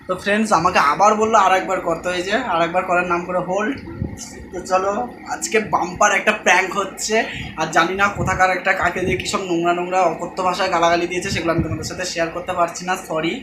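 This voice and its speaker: A man's voice: high-pitched, little pitch movement, very fast, noisy background. A young man with a West Bengali accent, chatting casually as a prank vlogger in a home recording. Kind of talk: casual chat to camera and on calls. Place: indoors at home.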